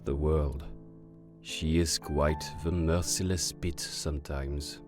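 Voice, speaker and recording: Suave male French-accented rogue acted, deep register, slow theatrical delivery, audio drama with music bed. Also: steady tone. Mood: smugly wistful. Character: suave, callous fire-headed male con artist. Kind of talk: audio drama character acting. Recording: music under the voice.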